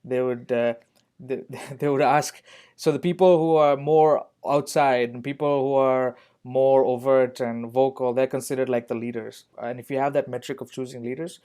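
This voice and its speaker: Man, podcast guest, earnest, emphatic repetition, mid-pitched voice. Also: steady tone, normal speed, dark tone, clear, resonant tone. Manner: earnest, repeats key points for emphasis. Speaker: guest on a youth ministry podcast. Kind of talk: reflective podcast conversation.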